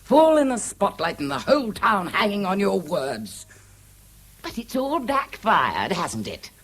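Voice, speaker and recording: Radio drama: scornful English wife, mid-pitched, rough-edged voice, highly animated, emphatic and cutting delivery. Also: female voice, unhurried, exaggerated voice. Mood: bitter, accusing. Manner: biting, sarcastic, emphatic. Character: scornful, indignant wife berating her husband. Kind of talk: 1970s British radio drama acting.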